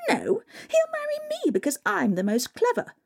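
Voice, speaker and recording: A woman voicing vain, squabbling young stepsisters in high, shrill voices. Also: wildly swooping pitch, moderate pace, creaky, heavily acted. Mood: boastful, bickering.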